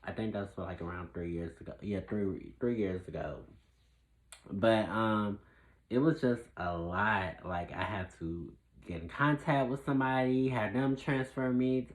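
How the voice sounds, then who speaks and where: A man's voice: lowish voice, a little slow. A man with a head cold, sounding stuffed up, who chats casually to his viewers with many restarts and self-corrections. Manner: laughs at his own jokes. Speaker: filmmaker vlogger. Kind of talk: casual talking-to-camera vlog.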